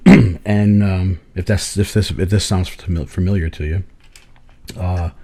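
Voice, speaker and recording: A man co-hosting a podcast, telling rambling stories in a hoarse voice, swearing freely and laughing often. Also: deep voice, fairly even intonation, a little slow, mellow. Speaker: podcast co-host. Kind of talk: casual chat.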